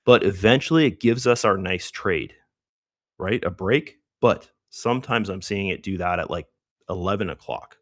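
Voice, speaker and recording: A middle-aged man hosting a live trading stream, hoarse and losing his voice, who rambles casually through market commentary with many fillers. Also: low voice, moderate pace, no echo, clean studio sound. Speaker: trading livestream host.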